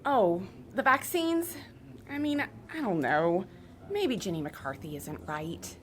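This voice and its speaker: A woman's voice: mid-range voice, sing-song, slow, slight background noise. A woman playing an opinionated, aggrieved suburban busybody, emphatic and huffy, in a radio comedy. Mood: aggrieved, resigned. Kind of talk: naturalistic radio comedy acting.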